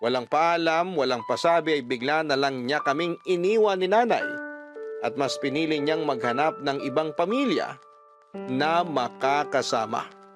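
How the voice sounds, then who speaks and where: A man giving a calm, clear, scripted narration of a sentimental first-person story. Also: fairly high pitch, moderate pace. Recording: narration over background music.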